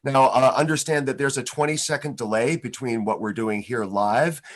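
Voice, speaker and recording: A man's voice: mid-range voice, normal speed. A man hosting a live online music show for schoolchildren, chatty and friendly, with many fillers, over a video call. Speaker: host.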